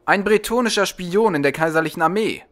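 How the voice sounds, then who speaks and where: A man's voice: sits high, fairly quick, fairly bright tone, pitched above the performer's own voice. A man voicing a dutiful military lieutenant, formal and factual, in a fantasy audiobook. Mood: reporting. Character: dutiful military lieutenant giving a crisp report.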